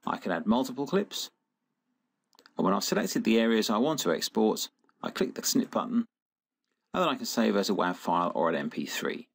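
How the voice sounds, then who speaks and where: A man narrating a scripted software tutorial in a methodical, instructional manner. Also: fairly low pitch, animated, brisk, creaky, slightly rough. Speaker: software demonstrator.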